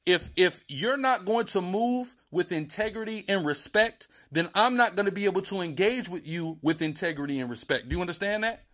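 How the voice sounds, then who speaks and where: High-voiced man, insistent and lecturing. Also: lively intonation, brisk, few pauses, fairly bright tone. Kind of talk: heated phone argument. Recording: leaked phone call audio.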